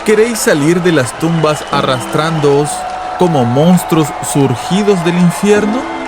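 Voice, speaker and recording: A man's voice: on the high side, lively intonation, unhurried, loud music behind the voice, a loud crowd, pitched above the performer's own voice. A man playing a fervent, imperious revival preacher in a dramatized story, speaking with urgent, vibrant, menacing oratory. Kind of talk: dramatized audiobook fire-and-brimstone sermon.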